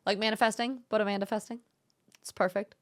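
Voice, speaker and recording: A young woman hosting a casual live-stream chat, speaking in a gentle, encouraging and thoughtful way. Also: mid-range voice, fairly even intonation, fast. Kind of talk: casual live-stream chat, reading viewer messages aloud.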